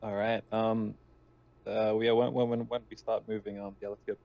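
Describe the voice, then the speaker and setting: A man's voice: fairly low pitch, flat delivery, moderate pace, gravelly. A man playing a tabletop roleplaying game, chatting casually in a hesitant, stammering way over a dull voice connection. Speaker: tabletop RPG player.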